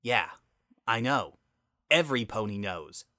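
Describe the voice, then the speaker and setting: Man voicing a sharp, sarcastic angry pegasus mare. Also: fairly low pitch, expressive, a little slow, dry recording, studio quality. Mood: bitter. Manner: biting, sarcastic, confrontational. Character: angry, sarcastic female pegasus pony, bitter older sister. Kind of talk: dramatic story reading.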